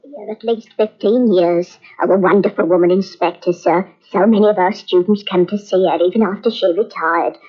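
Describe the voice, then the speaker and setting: A woman's voice: medium pitch, steady tone, normal speed, few pauses, slightly rough, natural voice. An actress playing a talkative, kindly landlady in an old radio mystery. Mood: chatty. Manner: talkative, fussy. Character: chatty middle-aged landlady, kindly gossip. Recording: dull old radio transcription.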